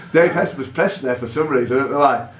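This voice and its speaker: A man working as a quiz master, reading buzzer questions aloud in a deliberate way and announcing point values between clues. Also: mid-range voice, lively intonation. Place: pub room with contestants. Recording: echoey room with faint background noise.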